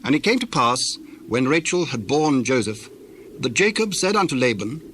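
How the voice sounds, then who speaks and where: A man giving measured, formal scripted narration for a dramatized Bible reading. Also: medium pitch, sing-song, fairly bright tone, a little hiss or hum.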